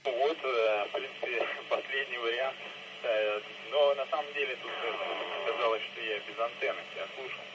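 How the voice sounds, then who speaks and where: A man chatting casually and haltingly as an amateur radio operator, heard through a two-way radio speaker. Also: mid-range voice, steady tone. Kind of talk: casual ham radio chat. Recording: noisy two-way radio transmission via speaker.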